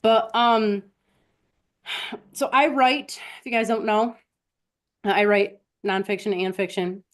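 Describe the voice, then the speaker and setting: A woman's voice: mid-range voice, steady tone, slow pace. A woman hosting a live stream, telling personal stories in a casual, conversational way and talking directly to her listeners.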